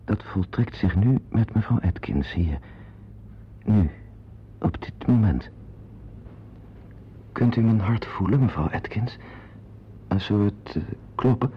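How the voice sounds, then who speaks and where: Low-voiced, needy, flustered man. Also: lively intonation, takes it slowly, long pauses. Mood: confiding, savouring. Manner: hesitant, stammering, confiding. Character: needy, flustered man confiding in listeners and seeking embraces. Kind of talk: radio drama acting with asides to the listener. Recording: dull old radio recording with rumbling noise.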